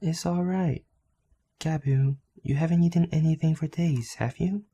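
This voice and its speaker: Guy doing gentle young girl goat, anime fan dub, mellow n creaky, soft spoken. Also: on the high side, normal speed. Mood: gentle, resigned. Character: gentle young female goat, selfless and serene.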